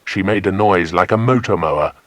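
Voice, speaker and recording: A man's voice: low-pitched, a little fast, dry recording. A man narrating a children's adventure story from a script as an audiobook.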